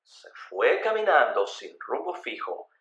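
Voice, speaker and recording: A man reading a scripted story in a measured, dramatic storytelling way with emphatic phrasing. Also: medium pitch, very animated, fairly bright tone, highs cut off. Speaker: narrator of a radio story. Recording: roomy, echoey voice recording.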